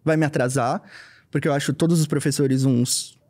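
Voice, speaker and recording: A man's voice: fairly high pitch, fairly even intonation, moderate pace, darkish tone. A young man in his twenties with a Brazilian accent, chatting informally and with slang as a podcast guest, close on a studio mic. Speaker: podcast guest, filmmaker and content entrepreneur. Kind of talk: casual interview chat, personal storytelling. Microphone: close studio mic on boom arm.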